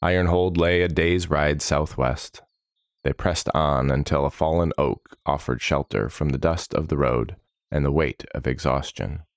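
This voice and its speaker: A man reading dramatic fantasy narration in a measured, solemn manner, giving short phrases weight. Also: rumbling low, no room sound, studio quality.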